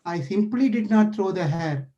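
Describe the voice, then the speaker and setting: A man's voice: high-pitched, animated, moderate pace, warm tone, muffled recording. A man voicing a frightened, hot-tempered old sage who pleads for help, in a devotional story. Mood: fearful, pleading. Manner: pleading, fearful.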